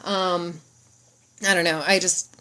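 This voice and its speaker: A woman's voice: on the low side, little pitch movement, slow, bright, forceful tone. A woman with a head cold, sniffly, chatting casually and rambling to viewers on a live stream as a podcast host. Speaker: podcast host and mental health mentor.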